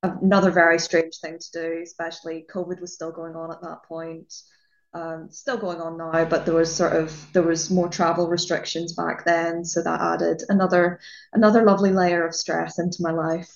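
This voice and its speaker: Young Northern Irish woman, chatty interview guest, lowish voice, dull lo-fi audio. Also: little pitch movement, normal speed, gravelly. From Northern Ireland. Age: young adult. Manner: chatty, fillers and restarts, trails off softer. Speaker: guest, music journalist. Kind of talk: casual interview chat.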